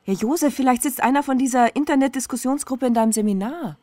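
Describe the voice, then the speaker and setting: A woman's voice: high-pitched, quick pace, warm tone, no echo, lightly acted. A woman playing a blunt, sceptical activist in a radio crime drama, terse, dry and insistent. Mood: urgent. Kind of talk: German radio crime drama acting, intimate dialogue.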